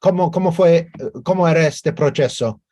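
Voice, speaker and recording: A man hosting an interview over a video call, asking questions in a halting way, searching for words and repeating himself. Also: a little high, warm tone. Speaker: interview host.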